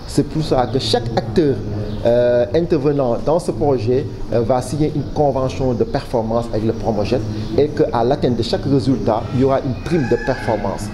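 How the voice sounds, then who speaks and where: A man with a Senegalese accent giving formal interview answers as a project official, halting often and repeating words. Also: mid-range voice. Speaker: official spokesman for a public waste management project. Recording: clearly noisy background ambience.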